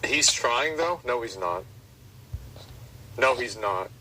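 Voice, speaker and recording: A man's voice: medium pitch, lively intonation, slow, recorded from a distance, noisy background. A man casually reacting to a video with a co-host, blunt and mocking. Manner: blunt, mocking, talks over others.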